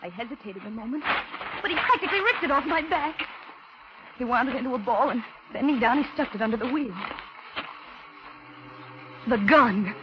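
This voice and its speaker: An actress as a high-voiced frightened woman, old radio. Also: expressive, noisy background, close to an everyday voice. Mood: fearful, suspenseful. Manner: tense, halting delivery with repeated words. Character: frightened young working woman held captive, desperately defiant. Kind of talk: 1940s radio drama acting and first-person narration. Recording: dull 1940s radio recording with music.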